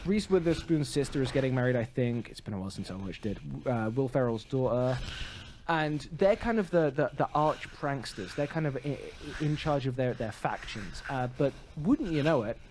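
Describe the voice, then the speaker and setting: A man speaks as a podcast co-host in loose, conversational review mode. His delivery rambles and halts, often doubling back to rephrase as he goes. The pitch sits in an ordinary middle range for a man. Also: expressive, normal speed, darkish tone, a little hiss or hum. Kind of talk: casual film review chat.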